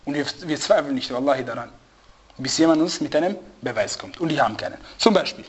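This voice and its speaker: High-voiced man teaching a religious lesson. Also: expressive, fast talker. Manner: emphatic, repeats key phrases, asks rhetorical questions; searches for German words and asks students.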